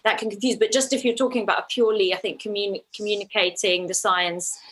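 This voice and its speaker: A woman's voice: mid-range voice, steady tone, fast, fairly bright tone, rough recording. A woman from Ukraine speaking as an interview guest, a documentary filmmaker who answers conversationally and thoughtfully, often restarting her phrases.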